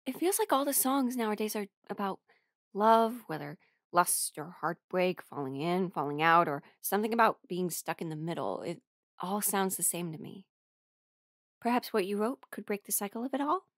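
A woman's voice: medium pitch, lively intonation, no echo. Woman acting playful bandmate, close dry sound. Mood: hesitant, doubtful. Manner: casual, halting, playful. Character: friendly, teasing young woman musician, tired bandmate on tour. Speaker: voice actor in audio roleplay. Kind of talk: intimate conversational roleplay acting.

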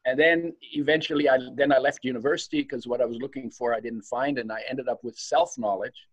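A man's voice: mid-range voice, fairly even intonation, brisk, husky, no room sound, dull audio. A man in his seventies, raised in Poland and German-speaking at home, telling his life story as a guest, reflective and laughing between sentences, on a video call from a study. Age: 70s. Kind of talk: personal storytelling. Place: home study lined with bookshelves.

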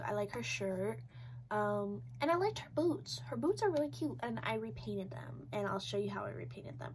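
A woman's voice: mid-range voice, darkish tone, slight background noise. A young woman in her twenties with a stuffy, congested voice from a cold, chatting casually and rambling with lots of fillers while reviewing dolls in her bedroom. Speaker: doll collector vlogger. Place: small decorated bedroom, indoors.

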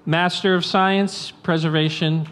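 A man formally reading out graduate names and degrees in measured, clear tones at a podium microphone in a large outdoor tent. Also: high-pitched, fairly even intonation. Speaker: name reader at a graduation. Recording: crowded outdoor tent, loud background noise. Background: crowd noise and applause.

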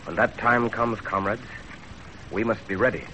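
An actor playing a fervent young revolutionary student, declamatory and earnest, in a 1930s radio drama on an old recording. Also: male voice, on the low side, normal speed, sharp tone, a little hiss or hum, rough recording.

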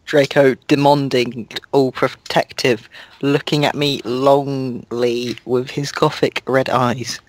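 A young man giving a deadpan, mocking dramatic reading of a story, with sarcastic asides. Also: medium pitch, takes it slowly. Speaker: reader.

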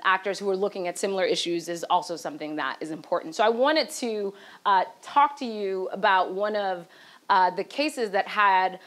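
A woman's voice: mid-range voice, fairly bright tone. A woman law professor on a panel, speaking to an audience in a lecture hall with many fillers and "right?" tags, picked up by a far camera.

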